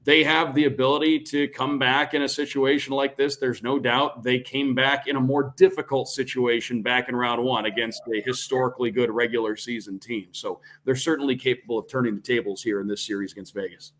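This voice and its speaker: A man with a mid-range voice talks through the game at an even, considered pace. He is a radio play-by-play announcer who is a guest here, and he chats casually with small fillers. The sound comes over a remote call. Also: medium pitch, poor audio. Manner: measured, thoughtful, with fillers. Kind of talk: casual analytical sports chat.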